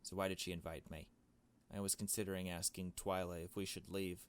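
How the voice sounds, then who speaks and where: A man with a fairly low voice narrates a visual novel as he plays. He moves between reading the text aloud and making casual, blunt remarks of his own. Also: flat delivery, brisk, clear, resonant tone. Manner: casual, blunt asides with swearing. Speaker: gaming video host.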